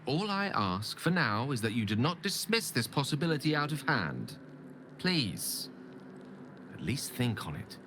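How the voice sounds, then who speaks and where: A man's voice: mid-range voice, big pitch swings, unhurried, noisy background. A man playing an eloquent, ambitious and embittered wizard in a video game, speaking with earnest, theatrical and formal articulation. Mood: earnest, persuasive. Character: ambitious, eloquent, embittered male wizard pleading his case. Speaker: video game companion character. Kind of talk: dramatic game dialogue acting. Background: background music.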